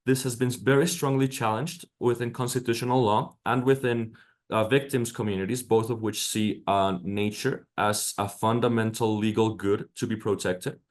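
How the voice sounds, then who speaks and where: A man's voice: lowish voice, fairly even intonation, moderate pace. A man presenter answering questions at an academic workshop, hesitant with many fillers and restarts.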